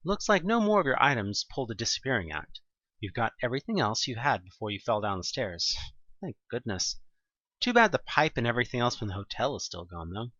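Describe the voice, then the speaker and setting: Expressive male narrator with lively pitch swings. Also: brisk, dry recording, dull audio. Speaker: audio drama narrator. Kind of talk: dramatic second-person scripted narration.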